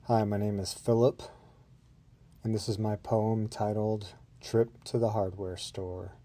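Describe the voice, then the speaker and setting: A man's voice: fairly low pitch, flat delivery, measured pace, mellow. A man reading his own poem in an understated, matter-of-fact way. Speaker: poet.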